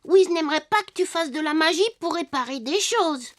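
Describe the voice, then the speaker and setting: A performer playing a cheerful little wooden puppet boy in a children's cartoon, eager, childlike and expressive. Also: extremely high pitch, brisk. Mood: earnest. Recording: music behind the voice. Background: children's cartoon music.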